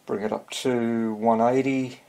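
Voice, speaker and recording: An older man with a husky voice, giving casual, halting hands-on commentary as he tinkers at an indoor workbench. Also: mid-range voice, level tone, warm tone, low-quality recording. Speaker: radio repair hobbyist.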